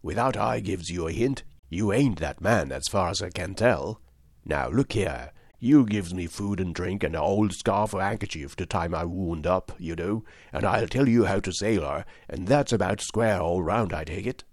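A gruff, sly old pirate sailor, badly wounded and surly, as a male storyteller puts him on. The voice sits very low and dry, with a rough seafaring way of speaking, read as a storybook figure. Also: normal speed, a little mellow, no echo. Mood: wheedling.

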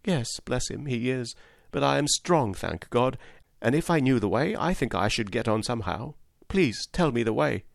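A man's voice: mid-range voice, animated, moderate pace, gravelly, no room sound, clean studio sound, pitched above the performer's own voice. A man voicing a poor young country mother, anxious and humble, pleading politely in a storybook reading. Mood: pleading, determined.

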